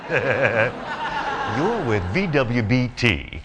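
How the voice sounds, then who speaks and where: Smarmy, slow-talking, animated show host. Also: male voice, mid-range voice. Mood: smug, showy. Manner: smarmy, tongue-in-cheek. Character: smarmy, wisecracking male TV race show host. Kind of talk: showy satirical TV presenting in a video game. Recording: noisy mix with crowd behind.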